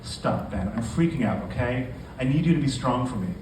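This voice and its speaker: A man's voice: mid-range voice, lively intonation, brisk, a little mellow, close to an everyday voice. A man reading aloud the part of an anxious, hurt pregnant woman in literary fiction, emphatic and pleading. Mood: frightened, pleading. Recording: echoey live room, distant mic, noisy.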